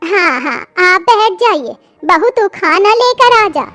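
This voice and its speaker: A woman's voice: extremely high pitch, very animated, slow pace, bright, forceful tone, dry recording, soft background music, full character voice. A woman voicing a hospitable, fretful older mother-in-law in a children's cartoon, homely and directing. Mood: welcoming. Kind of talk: Hindi children's cartoon story voice acting.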